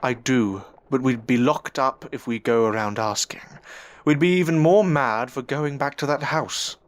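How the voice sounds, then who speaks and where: A man playing a troubled, earnest gentleman in a period audio drama, speaking formally and hesitantly. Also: medium pitch, moderate pace, natural voice. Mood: urgent. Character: troubled, earnest gentleman haunted by newfound powers. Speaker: voice actor and narrator. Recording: noisy amateur audio drama recording.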